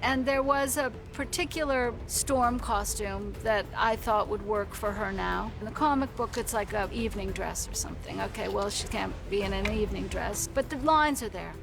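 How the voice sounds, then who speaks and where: A woman's voice: medium pitch, normal speed, vocal fry, hardly any pauses. A costume designer in a behind-the-scenes interview, explaining her choices in a thoughtful, explanatory way. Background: music.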